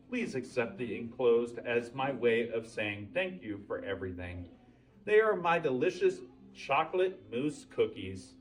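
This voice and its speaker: Man as storybook moose: earnest, chatty, awkwardly hopeful, lively intonation, heard from a distance. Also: mid-range voice. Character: earnest, eager male moose hoping to be a reindeer.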